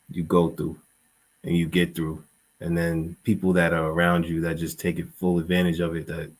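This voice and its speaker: A man as an interview guest, talking in a halting, rambling way full of fillers. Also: sits low. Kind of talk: conversational interview.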